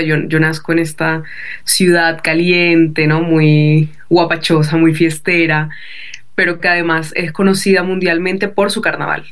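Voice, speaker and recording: Colombian Caribbean woman, fairly even intonation. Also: low voice. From Barranquilla, Caribbean coast of Colombia. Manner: discursive, with frequent tag questions. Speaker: guest novelist. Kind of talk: casual conversational explanation.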